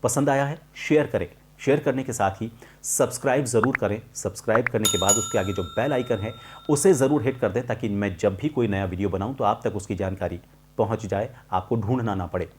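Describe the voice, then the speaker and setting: A middle-aged man explaining a lesson to viewers as a voice coach, in a deliberate, emphatic manner with clear pauses. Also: lowish voice, a little mellow, a little hiss or hum. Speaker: voice-over artist and voice coach hosting a tutorial.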